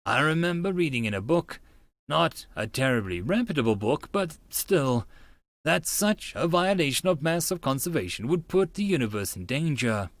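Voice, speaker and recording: A man's voice: fairly high pitch, big pitch swings, moderate pace, no echo. A man playing a proud, skeptical young man who is easily flustered, telling his own story in an audiobook. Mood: questioning.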